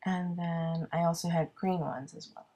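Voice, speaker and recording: A woman's voice: lowish voice, steady tone, normal speed, warm tone. A congested woman with a cold narrating her card-making casually, thinking aloud and poking fun at her own mess. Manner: chatty, self-deprecating, thinking aloud with many ums. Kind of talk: casual craft video narration.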